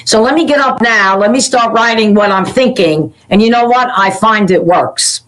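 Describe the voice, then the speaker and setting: A woman's voice: a little high, moderate pace, a bit bright, poor audio. Middle aged lady hosting an interview show, chatty, tells little stories. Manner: chatty, storytelling, laughs easily. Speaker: talk show host. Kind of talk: casual interview chat.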